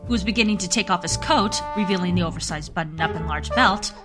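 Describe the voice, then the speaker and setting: A woman's voice: on the low side, fast talker. Woman narrating over prominent music. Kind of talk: scripted storytelling narration for a radio play.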